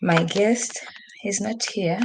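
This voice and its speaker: A woman's voice: mid-range voice, takes it slowly, gravelly, background noise. A woman hosting a casual live stream from home, chatty and rambling with frequent laughs, saying she is losing her voice. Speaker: podcast host. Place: newly moved-into home, desk by a window. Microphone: computer microphone.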